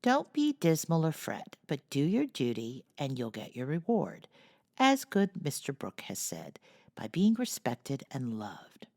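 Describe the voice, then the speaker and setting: A woman voices a proper, motherly teenage girl from a classic storybook, earnest and a little preachy. The voice sits low with a gravelly grain but moves a lot in pitch, close and dry. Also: huge pitch swings, measured pace, a little mellow, no room sound, full character voice. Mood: lecturing. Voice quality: earnest, lecturing. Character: motherly, proper seventeen-year-old girl.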